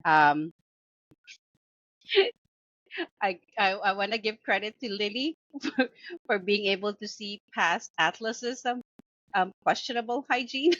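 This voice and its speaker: A woman's voice: mid-range voice, expressive, takes it slowly, long pauses, a bit bright, no echo. A woman with a Filipino accent chatting casually as a co-host of a book review show. She talks with many fillers and restarts and laughs often. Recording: dull lo-fi dry sound.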